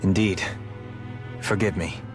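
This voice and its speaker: Dutiful young noble leader for game drama: lowish register, courtly measured phrasing, music clearly underneath. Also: male voice, fairly even intonation. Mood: sincere, resolved. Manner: formal, measured, earnest. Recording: prominent background music.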